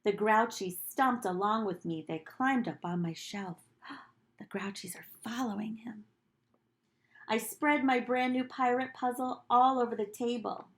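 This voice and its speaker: Slow-paced woman reading to young children. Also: a little high. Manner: deliberate, animated storytelling for small children. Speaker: nursery school teacher reading aloud. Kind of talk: expressive picture-book read-aloud for young children, with asides.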